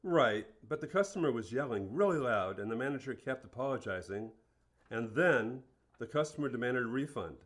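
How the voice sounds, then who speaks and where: An actor playing an earnest male fast-food store manager being interviewed in a radio play, plain-spoken and matter-of-fact. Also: mid-range voice, animated, fairly bright tone.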